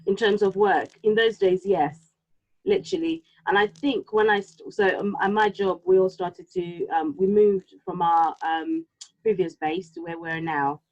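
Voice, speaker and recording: A woman whose home tongue is Yoruba, chatting casually and reflectively, halting now and then as she thinks aloud. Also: medium pitch, steady tone, a little mellow. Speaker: podcast co-host. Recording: slightly dull, lo-fi sound.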